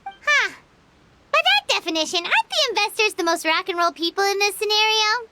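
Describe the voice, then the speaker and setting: A woman's voice: very high-pitched, lively intonation, a little fast, background noise. A woman voicing a tiny, cheeky fairy companion in a video game, cartoonish, sassy and skeptical. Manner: sassy, skeptical, refers to self in third person.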